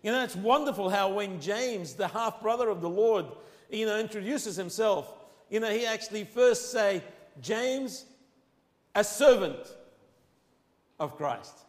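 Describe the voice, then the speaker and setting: High-voiced Arabic-accented preacher, echoing hall. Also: male voice, lively intonation. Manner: emphatic, slows and rises on key phrases. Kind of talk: sermon. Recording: long hall reverb.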